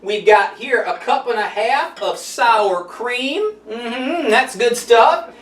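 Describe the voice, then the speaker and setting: A man's voice: very high-pitched, slow, a bit bright, heavily acted. A man voicing a chatty, sassy old grandmother who hosts a cooking show, rambling in a folksy, gossipy way and a bit out of breath. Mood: chirpy. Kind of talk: comedic character monologue. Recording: roomy sound, mic at a distance.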